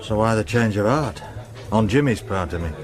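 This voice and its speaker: An actor playing a dry, dogged police detective, understated in a radio drama. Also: male voice, lowish voice, expressive, normal speed, over music, close to an everyday voice. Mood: probing. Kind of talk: naturalistic radio drama acting.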